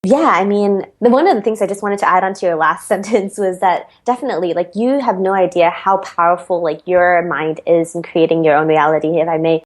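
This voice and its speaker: A woman with an American accent, a performance coach, giving reflective interview answers with frequent ums. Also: fairly low pitch.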